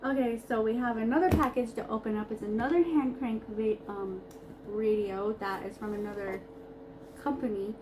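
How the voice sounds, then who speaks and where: A woman's voice: on the high side, steady tone, moderate pace. A woman giving a casual unboxing review, talking as a product reviewer in a noisy home recording. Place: upstairs room in a brick house.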